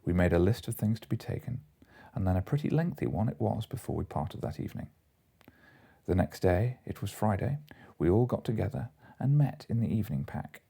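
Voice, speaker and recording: A man's voice: lowish voice, expressive, fairly quick, creaky, dark tone, breathy edge. A man narrating classic comic fiction as an audiobook reader, from a script.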